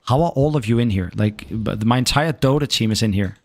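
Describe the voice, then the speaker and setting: A man's voice: medium pitch, fairly quick. A young man from Denmark with a Danish accent, chatting casually with viewers while streaming a game and swearing now and then. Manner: laid-back, swears casually, chats to viewers. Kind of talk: casual gaming stream chat.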